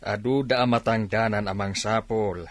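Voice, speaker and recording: An actor playing a skeptical, scolding old grandfather with a husky voice in a radio drama. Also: fairly low pitch, steady tone, normal speed, dry recording, natural voice. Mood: impatient, skeptical.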